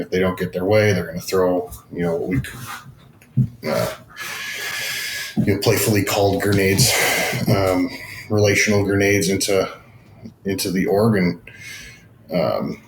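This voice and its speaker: Deep-voiced man in an echoey room. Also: fairly even intonation, moderate pace, long pauses. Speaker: business team leader as guest expert. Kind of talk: casual explanatory business discussion. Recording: echoey room, faint background noise.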